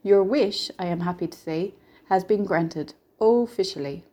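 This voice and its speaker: A little stranger with a peddler's patter, voiced by a woman reading a story. He is kindly and mysterious, coaxing with patient good cheer in a soft, mellow tone. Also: mid-range voice, animated, normal speed, natural voice. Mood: delighted. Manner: patient, coaxing, brightly reassuring.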